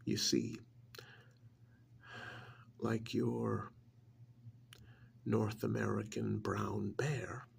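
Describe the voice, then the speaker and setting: A man playing an arrogant, contemptuous ancient alien in an ASMR roleplay, speaking in a gravelly, breathy half-whisper with deliberate, condescending pauses. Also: on the low side, very animated, slight background noise, exaggerated voice. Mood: sly, self-satisfied. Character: arrogant ancient alien stranded in a human body, contemptuous and menacing.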